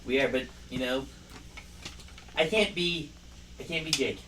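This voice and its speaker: A man's voice: mid-range voice, a little slow. A young man co-hosting a casual podcast, teasing his partner with dry humor and frequent laughter. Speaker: podcast co-host. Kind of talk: casual chat. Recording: distant mic with steady air-conditioning hum.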